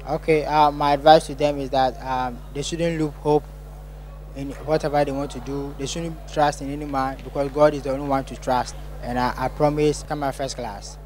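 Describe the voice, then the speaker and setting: A young Ghanaian man gives an interview testimony in a very even, level voice. There is a faint hum and outdoor noise behind him. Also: mid-range voice, moderate pace. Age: young adult. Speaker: student giving testimony.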